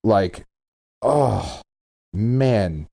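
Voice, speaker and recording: A man's voice: on the low side, expressive, extremely slow, vocal fry, dark tone, no room sound, clean studio sound. A man co-hosting a podcast, chatting casually in an emphatic, exclamatory way with loose, sweary language. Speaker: podcast co-host. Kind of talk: casual chat about films.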